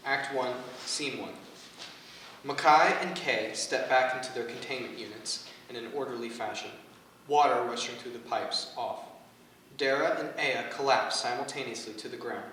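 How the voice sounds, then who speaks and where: A man's voice: medium pitch, moderate pace, bright, forceful tone. Distant male narrator in an echoey room. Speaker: narrator reading stage directions. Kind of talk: scripted narration at a staged play reading. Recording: echoey room from far off, faint noise.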